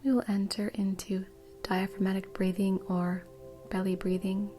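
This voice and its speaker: A woman guiding a meditation in a soft, breathy, soothing way. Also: medium pitch, little pitch movement, moderate pace, faint music behind. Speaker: meditation guide. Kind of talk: guided meditation.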